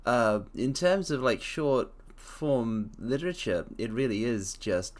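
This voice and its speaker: A man's voice: medium pitch, animated, takes it slowly. A man chatting on a live stream in a playful, theatrical manner, addressing his listeners as mortals. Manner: hums softly to himself between phrases. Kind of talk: casual live-stream chat.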